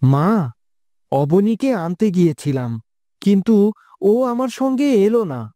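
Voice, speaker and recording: A man's voice: high-pitched, big pitch swings, unhurried, dark tone, dry recording. A man playing a devoted, wounded young man in a pleading, melodramatic cartoon style. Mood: hurt, despairing. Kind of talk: dramatic cartoon dubbing.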